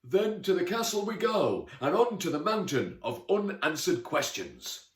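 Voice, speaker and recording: A man's voice: sits high, very animated, measured pace, roomy sound, recorded from a distance, voice pushed up. Man playing a hearty heroic knight, raised voice. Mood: hearty, eager. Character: gallant, hearty, heroic male knight in armour. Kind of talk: children's storybook character voice.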